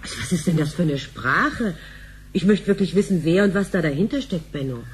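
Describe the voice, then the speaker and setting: A woman's voice: on the low side, animated, brisk, a little hiss or hum, close to an everyday voice. An actress playing a sceptical, teasing mother in her thirties, in conversational radio play style.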